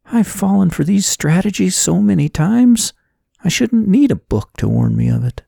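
A man performs an anxious young woman in an audiobook adventure, pitched well above his natural range. The voice is self-reproaching and worried, with a close, dry sound. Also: expressive, normal speed, creaky, warm tone, slightly rough, dry recording, heavily acted. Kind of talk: audiobook character voice.

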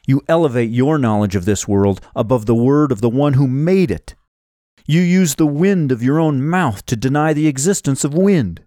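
A man's voice: medium pitch, lively intonation, normal speed, mellow, no echo, pitched above the performer's own voice, close to an everyday voice. A man performs an earnest young hero in an audiobook adventure. He sounds steadfast and devout, speaking with firm conviction in a dry, close recording. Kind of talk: audiobook character voice.

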